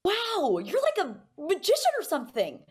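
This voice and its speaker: An actress voicing a friendly, casual, spirited teenage girl in a cartoon, with a husky voice. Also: very high voice, wildly swooping pitch, normal speed, darkish tone, heavily acted. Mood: friendly, amazed. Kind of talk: animated cartoon table read.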